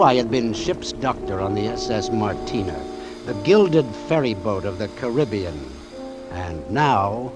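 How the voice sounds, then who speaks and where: Male actor as confident seafaring doctor, animated mid-range voice, savouring delivery; vintage radio drama, heavy music behind. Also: mid-range voice, lightly acted. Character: self-assured ship's doctor narrating his scheme. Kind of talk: 1940s radio drama, first-person narration. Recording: old radio broadcast with loud music.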